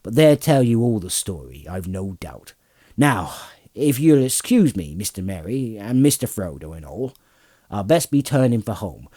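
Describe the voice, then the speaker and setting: A man's voice: lowish voice, sing-song, normal speed, dark tone, no room sound, studio quality. A man voicing a blunt, hearty old farmer with a rustic, homely way of talking in a storybook reading. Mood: hearty, relieved. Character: gruff, plain-spoken, hearty old country farmer. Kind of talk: audiobook character voice.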